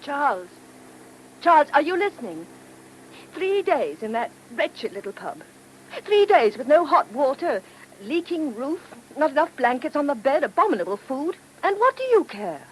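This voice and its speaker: A woman's voice: sits high, sing-song, normal speed, poor audio. An actress playing an aggrieved, nagging English wife in a radio play, indignant and emphatic in her complaints. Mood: indignant, complaining. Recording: hissy old radio drama recording.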